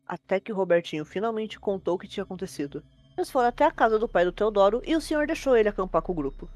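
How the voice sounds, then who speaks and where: Fast-talking Brazilian woman storyteller. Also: medium pitch, darkish tone. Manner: informal, fluent retelling. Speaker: host and storyteller. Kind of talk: conversational narration of a creepypasta.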